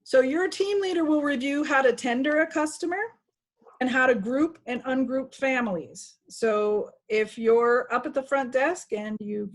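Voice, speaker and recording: Woman, fairly high voice, staff trainer, conversational and instructive, informal video-call walkthrough. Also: a little slow, poor audio. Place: video call with screen sharing.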